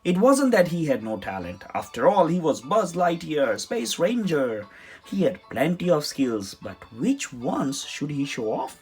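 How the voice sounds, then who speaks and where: Someone reading a children's story aloud in an expressive, dramatic way. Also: mid-range voice, unhurried, breathy edge. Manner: animated, dramatic delivery. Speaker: storybook reader. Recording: music under the voice. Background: music.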